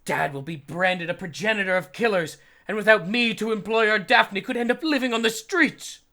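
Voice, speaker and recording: Pompous male psychiatrist, played by a man, middle-aged, forceful and gravelly, grand diction, comedy fandub with music behind. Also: high-pitched, moderate pace. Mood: urgent, grandiose. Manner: haughty, theatrical, ornate diction. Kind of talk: comic fandub acting.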